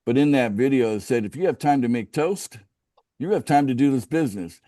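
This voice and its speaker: A middle-aged American man from the West Coast, pitching a business opportunity on a casual group call, often restarting sentences and asking the group for agreement. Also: lively intonation, a little fast, dark tone, dry recording, clean studio sound. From United States, West Coast. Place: outdoors by a home pool.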